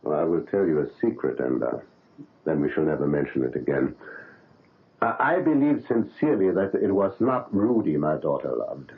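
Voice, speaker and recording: An actor playing a proud, paternal surgeon in a 1970s radio drama, coaxing and insistent. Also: male voice, rumbling low, big pitch swings, moderate pace, gravelly, exaggerated voice. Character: proud, paternal German surgeon, older man. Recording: narrow phone-like old radio broadcast.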